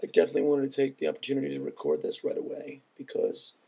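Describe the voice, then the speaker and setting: A man speaking in an earnest, measured and reflective way in a personal vlog, his voice congested from a head cold. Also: mid-range voice, big pitch swings, creaky, mellow, husky. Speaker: video blogger.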